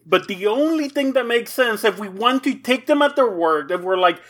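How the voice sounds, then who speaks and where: A man's voice: extremely high pitch, expressive, moderate pace, fairly bright tone. A man speaking as a panelist in a casual podcast discussion.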